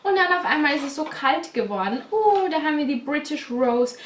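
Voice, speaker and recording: A young woman in her twenties chatting casually as a beauty vlogger, slightly stuffy from a cold, repeating words and filling pauses. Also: sits high, big pitch swings, slight background noise. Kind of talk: casual unboxing chat. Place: small bedroom studio indoors. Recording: roomy echo, a bit distant.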